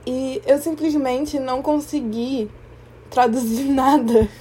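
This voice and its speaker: A young woman with a Brazilian Portuguese accent, hosting a podcast in a reflective personal monologue, thoughtful and often pausing to search for the right word. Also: sits high, fairly even intonation, mellow, a little hiss or hum. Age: young adult. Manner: thoughtful, searching for words, pauses and restarts. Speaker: podcast host.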